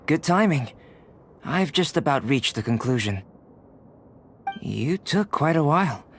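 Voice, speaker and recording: A man playing a composed young alchemist in a video game, with a measured, formal and contemplative delivery. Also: fairly high pitch, expressive, slight background noise. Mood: composed, explanatory. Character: calm, scholarly young male alchemist, detached and contemplative. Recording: faint music behind the voice.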